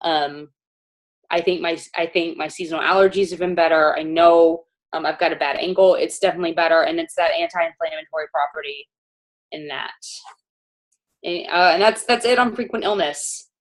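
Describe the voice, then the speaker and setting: A woman's voice: low-pitched, moderate pace. A woman casually presenting products to a panel, informal and chatty with many fillers.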